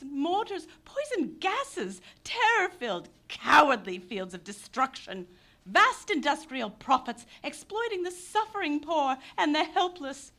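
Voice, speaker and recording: A woman's voice: high voice, a bit bright, heavily acted. An actress in a live radio play playing an impassioned young pacifist Englishwoman who speaks in declamatory bursts. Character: passionate pacifist young Englishwoman, fiery idealist.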